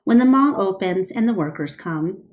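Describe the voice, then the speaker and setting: A woman voicing an earnest, polite and gentle male gorilla in a storybook reading. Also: medium pitch, animated, normal speed, close to an everyday voice. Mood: gentle, reticent.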